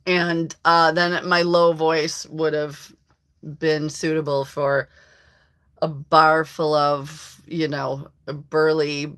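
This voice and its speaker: A hoarse woman hosting a casual live stream from her country home, chatting to viewers in a rambling way and laughing often. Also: low-pitched, flat delivery, slow, a bit bright. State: hoarse from allergies. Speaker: livestream host. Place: rural home.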